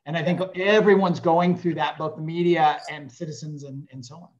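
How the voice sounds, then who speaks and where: A man's voice: on the high side, steady tone, a little fast. A man in his fifties interviewing conversationally over a video call from a room indoors. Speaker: interviewer, journalist. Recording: slightly dull video call audio.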